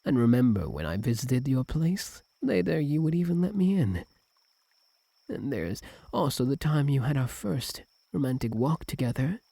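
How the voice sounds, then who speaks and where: A man playing a possessive, doting yandere fox-spirit husband in an intimate ASMR roleplay, breathy, tender and clingy with a sweetly menacing edge. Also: medium pitch, very animated, normal speed, dark tone, dry recording. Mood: adoring. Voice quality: breathy, husky, at times whispered. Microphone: close, dry microphone.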